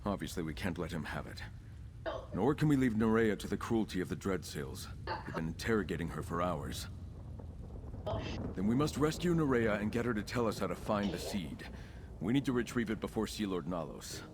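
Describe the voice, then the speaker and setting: An actor playing an earnest freed prisoner and heroic ally in a video game, speaking in a measured, theatrical way over game ambience. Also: male voice, low voice, big pitch swings, background noise. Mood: earnest, resolute.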